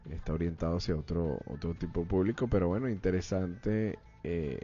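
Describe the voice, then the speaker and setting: Deep gravelly male interviewer. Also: a little fast, dark tone. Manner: brief acknowledgments and questions. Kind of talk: interview questions. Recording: dull lo-fi sound with faint music.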